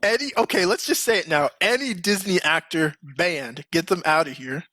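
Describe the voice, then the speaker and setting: A man hosting a casual group podcast chat, talking in an animated, emphatic way. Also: a little high, normal speed, no echo. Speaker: podcast host.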